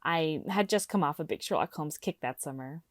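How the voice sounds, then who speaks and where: A woman playing an earnest radio caller who tells an eerie personal story in a deliberate, dramatic way. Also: deep voice, no echo, studio quality, close to an everyday voice. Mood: uneasy, suspenseful. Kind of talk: scripted first-person storytelling.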